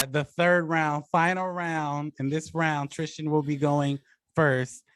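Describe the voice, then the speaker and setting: Higher-voiced man hosting a debate. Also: level tone, slow pace, dry recording. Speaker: host and moderator of a movie debate show. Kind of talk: live debate moderating, off the cuff.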